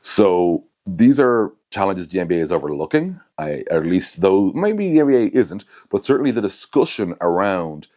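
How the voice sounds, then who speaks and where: Young guy, super deep voice, chatty vlog rambling, keeps correcting himself. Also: big pitch swings, normal speed, few pauses, warm tone. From Ireland. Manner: rambling, self-correcting, conversational asides. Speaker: basketball commentator, channel host. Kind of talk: casual analysis to camera.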